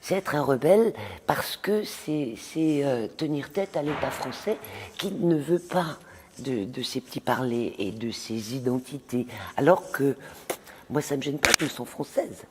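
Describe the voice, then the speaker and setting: A woman's voice: extremely low pitch, expressive, measured pace, noisy background. A woman from Alsace, a theatre actress, speaking passionately in an interview with some hesitations. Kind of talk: interview answers.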